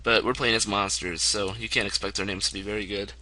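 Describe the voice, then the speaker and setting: A young man with a somewhat low, hoarse voice talks his way through a strategy game in a loose, rambling manner, chuckling as he goes. He records at home, and a clear hiss of background noise sits under his voice throughout. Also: fairly even intonation, fairly quick. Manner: chatty, rambling, chuckles often. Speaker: let's play gamer. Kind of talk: casual gameplay commentary.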